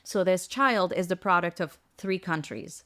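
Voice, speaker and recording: A middle-aged woman from the United States, raised between France and Colombia, speaking as a coach and podcast guest in a casual interview. She is upbeat and animated and laughs easily. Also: on the low side, moderate pace.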